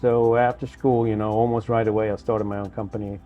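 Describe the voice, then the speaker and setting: A man's voice: lowish voice, level tone, fairly quick, narrow, tinny audio, soft background music. A man from Sweden, an investor telling his story in an interview, repeating words and often adding "you know". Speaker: investor, guest. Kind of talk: casual interview talk.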